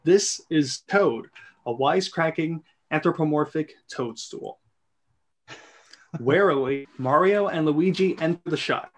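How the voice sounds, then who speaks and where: A man's voice: on the high side, slow. A man narrating screenplay stage directions in an amateur group reading.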